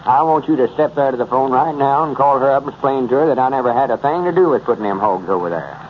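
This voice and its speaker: Guy doing a pompous old southern country man, medium pitch, easily put out, muffled old radio comedy. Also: lively intonation, fast talker, natural voice. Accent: rural Southern US. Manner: pompous, folksy. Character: self-important, indignant old country fellow. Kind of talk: 1930s radio comedy acting. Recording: muffled, noisy 1930s radio recording.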